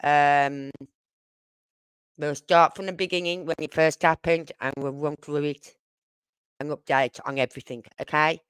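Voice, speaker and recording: A woman's voice: deep voice, steady tone, measured pace, long pauses, clean tone, no room sound. A woman with a British accent hosting a casual true-crime livestream, chatting in a rambling, informal way. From United Kingdom. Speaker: livestream host.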